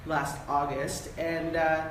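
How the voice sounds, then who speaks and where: A woman's voice: sits low, flat delivery, slow. Bubbly woman host in an echoey room. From US East Coast. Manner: bubbly, upbeat, many ums. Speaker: podcast host. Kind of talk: live-stream interview hosting. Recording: echoey room with light hiss.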